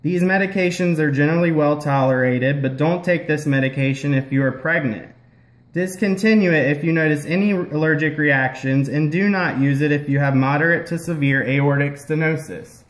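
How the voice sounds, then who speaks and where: A man's voice: fairly high pitch, moderate pace, close to an everyday voice. A man playing a patient, explanatory health-care puppet in a scripted educational show. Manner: explanatory, instructional. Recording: roomy echo.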